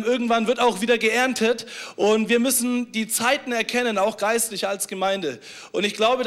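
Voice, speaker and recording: A man's voice: very high-pitched, bright, forceful tone. A man preaching a sermon to his congregation, earnest, repeating phrases and restarting often. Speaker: pastor.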